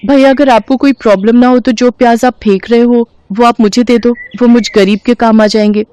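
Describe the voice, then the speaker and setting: A woman's voice: fairly high pitch, steady tone, moderate pace, mellow, clear, resonant tone, voice pushed up, close to an everyday voice. A woman voicing a poor, devoted, polite young wife and mother-to-be in a moral story, with an earnest, pleading manner. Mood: polite, friendly. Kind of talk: animated moral-story dialogue.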